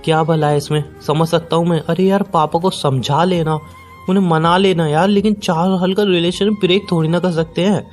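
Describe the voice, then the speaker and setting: A man's voice: on the high side, steady tone, normal speed, faint music behind, close to an everyday voice. A young man playing a hurt, incredulous boyfriend in an audio call drama, pressing and pleading in an exasperated way. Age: young adult. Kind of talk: acted phone-call drama in conversational Hindi with some English.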